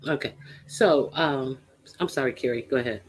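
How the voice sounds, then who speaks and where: A man's voice: mid-range voice, normal speed, slight background noise. A man who joined a casual live chat to speak, arguing point by point. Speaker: audience member brought up to speak.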